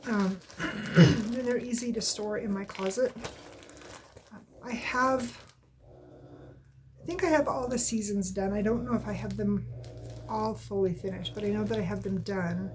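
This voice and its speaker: Woman, stuffy nose, casual weekly update, rambling, self-correcting, mid pitch, indoors at home. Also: measured pace, pauses often, a little mellow, slight background noise. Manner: chatty, rambling, corrects herself often. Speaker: cross-stitch vlogger.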